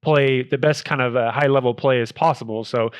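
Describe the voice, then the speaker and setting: A man's voice: mid-range voice, fairly even intonation, fairly quick, smooth, no echo. A man speaking as an esports coach in a casual discussion, thinking aloud and restarting his phrases. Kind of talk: casual round-table discussion.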